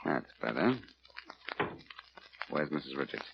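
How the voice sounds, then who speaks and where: An actor with an American accent playing a cool, wry, commanding amateur detective in a 1940s-style radio drama. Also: male voice, rumbling low, sing-song, takes it slowly, creaky, heavily acted. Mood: cool menace. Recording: dull old radio recording, faint noise.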